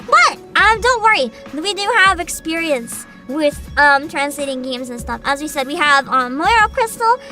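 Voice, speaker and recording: Giggly high-voiced young woman streamer. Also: moderate pace, hardly any pauses, music in the background. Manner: giggly, animated, frequent laughter and restarts; playful mock-CEO boasting. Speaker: vtuber streamer. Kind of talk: casual livestream chat answering chat.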